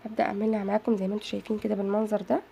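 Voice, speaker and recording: Gravelly-voiced Egyptian woman crafting. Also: mid-range voice, flat delivery, very fast, warm tone, a little hiss or hum. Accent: Egyptian Arabic. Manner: chatty, addresses viewers as girls. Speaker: craft channel host. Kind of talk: casual craft tutorial.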